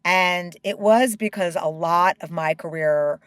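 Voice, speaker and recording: A middle-aged woman speaks candidly as an interview guest. Her voice sits slightly low, and it is recorded dry and close with no room sound. She talks with open emotion and stops and restarts often. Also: measured pace, clear, resonant tone, no room sound. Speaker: guest, company co-founder.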